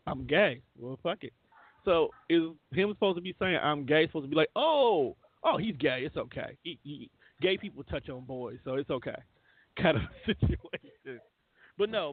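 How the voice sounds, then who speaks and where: Higher-voiced man chatting through a thin, phone-like line. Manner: rambling, colloquial, swearing. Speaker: radio show host. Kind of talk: casual celebrity-news banter. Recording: thin phone-like sound, roomy echo.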